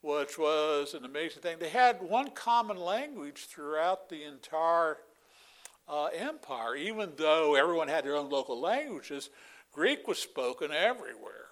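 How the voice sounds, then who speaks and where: A man's voice: on the high side, expressive, measured pace. An older man preaching a Bible lesson in a folksy way, with many fillers and asides. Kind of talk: Bible teaching sermon.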